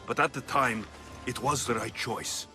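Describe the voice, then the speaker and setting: An actor playing a hot-tempered mercenary warrior in a video game, blunt and commanding. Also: male voice, mid-range voice, measured pace, bright, forceful tone, soft background music. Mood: firm, calmer. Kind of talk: video game dramatic acting.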